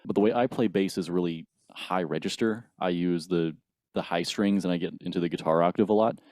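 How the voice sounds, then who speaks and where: Young male musician, early twenties, very low register, explanatory casual interview talk, dry room. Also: brisk, darkish tone, no room sound. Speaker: band bassist, guest.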